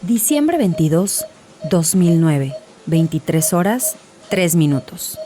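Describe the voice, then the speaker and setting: A woman's voice: lowish voice, very animated, slow. A woman giving a dramatic scripted narration as an audiobook narrator. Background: music.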